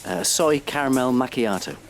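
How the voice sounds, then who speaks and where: An actor playing a reserved, politely weary younger holidaymaker, dry and hesitant, in an audio comedy. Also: male voice, medium pitch, expressive, moderate pace, faint music behind, close to an everyday voice. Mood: guarded. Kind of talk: audio drama comedy acting. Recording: clear background vehicle noise.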